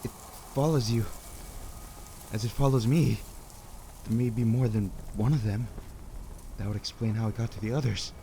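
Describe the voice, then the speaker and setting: A man's voice: mid-range voice, normal speed, long pauses, a little hiss or hum, natural voice. An actor playing a frantic, haunted man trapped in a corn maze, warning in a panicked rush, in a radio play. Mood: fearful. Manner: panicked warnings that turn to eerie calm.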